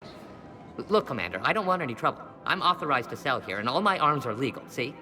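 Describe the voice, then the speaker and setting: Shifty arms dealer in an echoing hall. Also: male voice, medium pitch, big pitch swings, brisk. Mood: nervous, defensive. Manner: slangy, evasive, salesman-like. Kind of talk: naturalistic game acting. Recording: long hall reverb, loud music behind.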